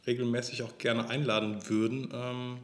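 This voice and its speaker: A man's voice: medium pitch, little pitch movement, normal speed, echoey room. A man in his late thirties, a sexton co-hosting a church podcast, chatting casually, laughing easily and restarting sentences. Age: 30s. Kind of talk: casual chat.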